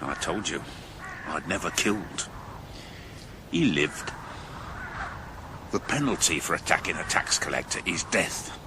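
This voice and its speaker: Weary low-voiced outlaw guard. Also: male voice, animated, measured pace, long pauses, noisy background. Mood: weary, reflective. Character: weary male outlaw guard, former farmer, decent at heart.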